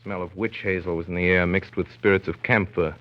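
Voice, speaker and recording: An actor playing a grown son narrating family memories, wry and measured, in 1930s radio style. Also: male voice, deep voice, steady tone, close to an everyday voice. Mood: wry, reminiscent. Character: grown son wryly recalling his domineering father. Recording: dull 1930s radio recording with music.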